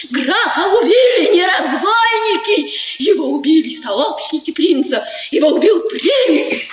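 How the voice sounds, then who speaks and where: An actress playing a proud, bitterly mocking, agitated countess in a 1950s radio play, with theatrical, taunting emphasis. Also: female voice, extremely high pitch, heavily acted. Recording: echoey, noisy 1950s radio recording.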